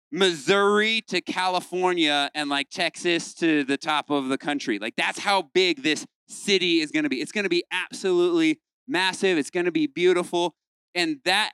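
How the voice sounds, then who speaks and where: Upbeat young pastor with a crisp voice. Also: male voice, on the high side, no echo. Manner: lively, playful, acts out quoted voices. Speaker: youth pastor. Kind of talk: casual youth sermon.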